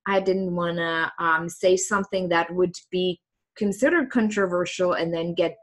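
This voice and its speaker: A woman's voice: lowish voice, fairly even intonation, moderate pace. Woman speaking candidly in an interview. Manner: candid, reflective, with frequent ums. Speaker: host. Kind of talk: casual interview talk.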